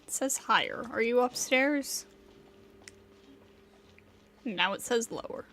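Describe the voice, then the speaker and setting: A woman's voice: a little high, slow pace, fairly bright tone. A woman game livestreamer chatting casually and slangily, with playful exclamations. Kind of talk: casual livestream commentary with reading out of game dialogue.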